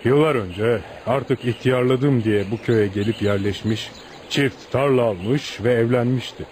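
A man's voice: medium pitch, a little slow, darkish tone, close to an everyday voice. Guy playing solemn village uncle telling an old tale, radio theatre, respectful, bit of hiss and ambience. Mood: solemn storytelling. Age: 50s. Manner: earnest, solemn storytelling. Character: respectful old village guide and storyteller, man in his fifties. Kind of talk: Turkish radio theatre acting. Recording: noisy radio drama recording, outdoor ambience.

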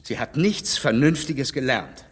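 An outspoken, reproving gentleman. His husky voice rises and falls a great deal, and it trails into a long reverb. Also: medium pitch, measured pace. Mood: scornful. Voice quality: frank, lively, reproving manner. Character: stern, outspoken gentleman, a frank adviser. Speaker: Mister Knightley. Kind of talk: radio play acting.

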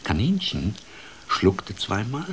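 Male storyteller, lowish voice, animated intonation, grandfatherly children's radio-play narration, faint background noise. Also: slow pace. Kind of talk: expressive scripted children's storytelling.

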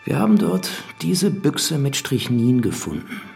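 An actor playing a police inspector in a radio drama, blunt, official and pressing. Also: male voice, mid-range voice, sing-song, a little slow, vocal fry, darkish tone, faint music behind. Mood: stern, pressing. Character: brusque police inspector making an arrest.

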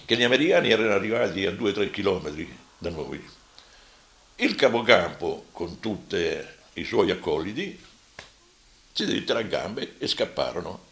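A man voicing an elderly former deportee with a gravelly voice and Roman accent, giving halting spoken testimony. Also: low voice, lively intonation, fairly bright tone. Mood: halting recollection. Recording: roomy, echoing voice.

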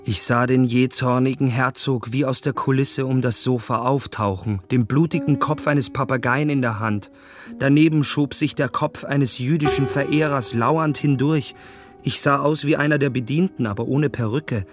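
Earnest young gentleman played by a man, introspective and dreamy, mid-range voice, radio play with clear music bed. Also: mid-range voice, fairly even intonation, moderate pace, close to an everyday voice. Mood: uneasy. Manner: introspective, literary inner monologue. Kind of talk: radio drama acting. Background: instrumental music.

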